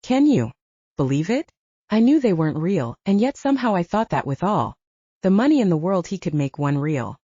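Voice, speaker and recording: A woman's voice: lowish voice, very animated, normal speed, mellow, slightly rough, no echo, lo-fi sound. A woman voicing a wounded, self-reliant human ranger in an audiobook, confiding and candid. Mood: bitter, confiding. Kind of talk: audiobook character dialogue.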